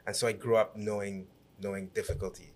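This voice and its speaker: A man from Guyana in his thirties, a podcast guest telling his life story in a lively, halting way with many restarts. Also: on the low side. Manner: animated storyteller with frequent restarts and repeated words. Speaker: guest, businessman and city councillor. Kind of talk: conversational podcast interview.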